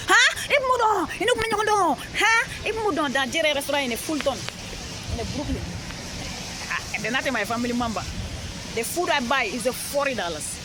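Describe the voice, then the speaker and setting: A woman's voice: sits high, lively intonation, moderate pace. A Malian-accented woman telling a rambling personal story outdoors, repeating herself and checking in with "all right?". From Mali. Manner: repetitive, insistent, frequent "all right?" checks. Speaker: street interviewee. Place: busy city street outdoors. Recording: noisy outdoor street recording. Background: traffic and street noise.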